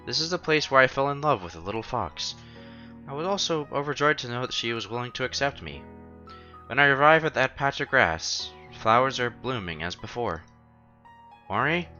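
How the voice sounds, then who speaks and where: Male game streamer, bright forceful voice, animated dramatic read-aloud with casual asides, slightly muffled recording. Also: medium pitch. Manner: animated, acts out protagonist's lines. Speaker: streamer reading a visual novel aloud. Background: soft game music.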